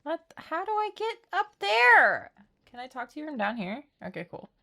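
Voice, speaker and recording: A woman's voice: high voice, very animated, normal speed, gravelly, pushed and bright. A woman game streamer chatting casually on a livestream in an informal, rambling, giggly way. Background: faint game music.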